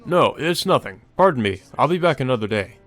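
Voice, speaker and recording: A man voicing a serious, polite and evasive elderly gentleman in a visual novel. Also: medium pitch, expressive, brisk, faint music behind, voice pushed up, lightly acted. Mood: polite, flustered. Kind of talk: character reading in a visual novel.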